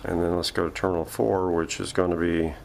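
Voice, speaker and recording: A man's voice: low-pitched, little pitch movement, brisk, gravelly, a little hiss or hum. A man giving a casual, unscripted hands-on workshop demonstration, thinking aloud as he works. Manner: thinking aloud while working. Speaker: host of a radio repair vlog. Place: home workshop.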